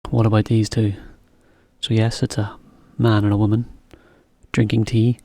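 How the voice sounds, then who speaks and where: A man teaching a beginner English lesson, explaining slowly in plain words with example sentences. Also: fairly low pitch, level tone, creaky, dark tone, no room sound, studio quality.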